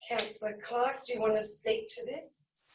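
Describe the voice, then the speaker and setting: An Australian woman chairing a council meeting as mayor, calling motions in a halting, procedural way with fillers. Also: fairly high pitch, big pitch swings. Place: council chamber. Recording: echoey room, far from the mic.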